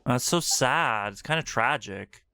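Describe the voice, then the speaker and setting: A woman's voice: very deep voice, expressive, measured pace, pushed and bright, clear, resonant tone, no echo, studio quality. A woman giving casual, slangy game commentary, thinking aloud as she plays. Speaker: game streamer. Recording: game music behind the voice. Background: game music and dialogue.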